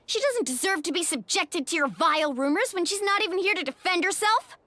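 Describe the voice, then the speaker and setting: A woman playing an upbeat, loyal young warrior woman in a video game, earnest and expressive. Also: extremely high pitch, fairly quick, no room sound, exaggerated voice. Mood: indignant. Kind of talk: English video game voice acting.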